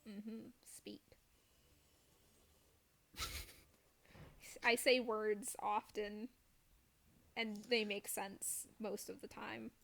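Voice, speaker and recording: A woman's voice: mid-range voice, flat delivery, drawn-out words, creaky, long pauses, a bit bright. A woman chatting casually as she streams a game, joking in a self-deprecating way. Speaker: streamer playing a video game. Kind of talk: casual chat while gaming.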